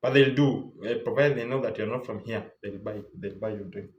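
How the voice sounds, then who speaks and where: A man's voice: fairly low pitch, brisk, darkish tone, echoey room, lo-fi sound. A young Kenyan man with a Kenyan English accent, giving casual travel tips in a loose, chatty way full of repeats and fillers. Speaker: travel vlogger. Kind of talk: casual travel advice talk.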